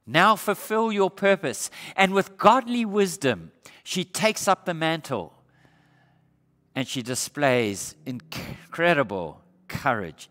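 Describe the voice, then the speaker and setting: A middle-aged male pastor from Australia preaching a sermon on a church stage, asking the congregation rhetorical questions. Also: fairly high pitch. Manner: animated preaching with rhetorical questions, gesturing while standing. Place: church sanctuary stage, indoors. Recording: reverberant church hall.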